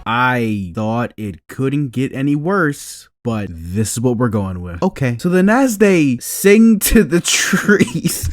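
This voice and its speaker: Exasperated video reviewer with sweeping, animated commentary. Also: mid-range voice, slow pace, few pauses, no room sound. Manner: exasperated, sarcastic, emphatic. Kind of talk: scripted cartoon review commentary with sarcastic asides. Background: background music.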